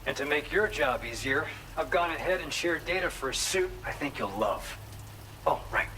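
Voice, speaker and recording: A man's voice: a little high, animated, moderate pace, sharp tone. An actor playing an earnest, grateful male researcher who talks conversationally in a video game. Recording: noisy, rain pattering behind voice.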